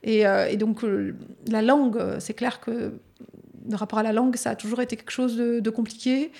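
A woman's voice: on the high side, steady tone, brisk, gravelly, darkish tone. A woman from the Lyon area of France speaks in a casual, reflective conversation, telling personal stories with frequent hesitations. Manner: hesitant, frequent fillers, storytelling. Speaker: podcast guest and speaker.

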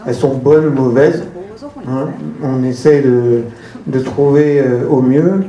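A man's voice: mid-range voice, fairly even intonation, slow pace, warm tone, roomy sound, dull audio. A man who translates books, talking at a panel in a hesitant way with many restarts. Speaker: literary translator. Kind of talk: panel discussion.

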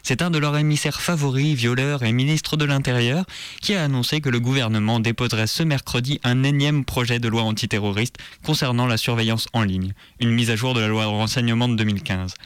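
A man's voice: medium pitch, fairly quick, clear, resonant tone, no echo. A man reads scripted news commentary on community radio in a sarcastic, militant manner. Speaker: radio show presenter.

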